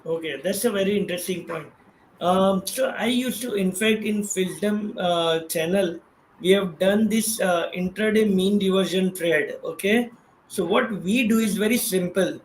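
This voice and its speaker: A man with an Indian accent explaining things as a guest expert in a casual, conversational way, checking in as he goes. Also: high-pitched. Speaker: guest expert, trading professional. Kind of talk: casual explanatory talk on stock trading. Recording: roomy echo, faint background noise.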